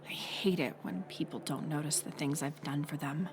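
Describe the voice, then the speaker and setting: A young woman playing a mocking college bully in an audio roleplay, sarcastic and teasing, with a hidden softer side. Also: sits low, fairly even intonation, normal speed, background noise, natural voice. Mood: exasperated. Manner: sarcastic, teasing, scoffing with sighs and breathy asides. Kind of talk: audio roleplay acting, intimate one-sided dialogue.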